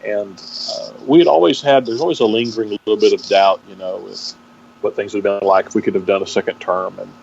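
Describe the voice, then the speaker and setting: A middle-aged man with a Texan accent giving a reflective interview as a guest, speaking haltingly and searching for his words. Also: on the low side, fairly even intonation, creaky, a little mellow. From Texas, United States. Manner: halting, searching for words with repeated false starts. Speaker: interview guest, pastor and seminary teacher. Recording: dull, band-limited audio with faint noise.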